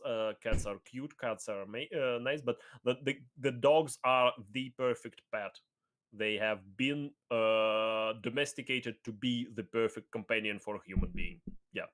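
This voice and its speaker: A man's voice: medium pitch, a bit bright. A man as guest in a casual debate chat, rambling with restarts, laughing and swearing casually.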